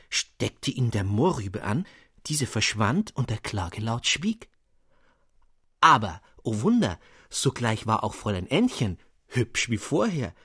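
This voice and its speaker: A man narrates a literary fairy tale with animated expression. His voice is somewhat lower than most and slightly rough-edged, recorded very dry, as a storyteller who gets into the characters. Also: no room sound.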